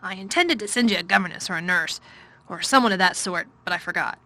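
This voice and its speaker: A woman voicing a troubled, fretful middle-aged gentleman in a storybook reading; loud, weary and distracted. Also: on the low side, fast talker.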